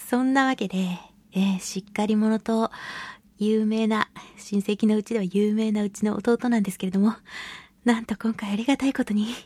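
A woman hosting a radio show solo, chatting casually and playfully to listeners with drawn-out words and colloquial asides. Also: medium pitch, steady tone, a little mellow, no echo. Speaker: radio show host, voice actress.

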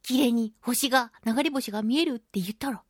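A woman's voice: on the high side, fairly quick, dry recording, clean studio sound. A woman hosting a solo radio talk show, telling stories casually and animatedly, with sound-effect words and playfully polite phrasing.

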